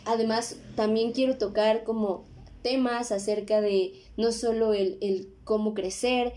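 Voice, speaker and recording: A woman's voice: fairly high pitch, fairly even intonation, unhurried, mellow. A woman in her twenties with a Mexican accent, hosting a podcast in a casual, conversational monologue with frequent tag questions. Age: 20s. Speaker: podcast host.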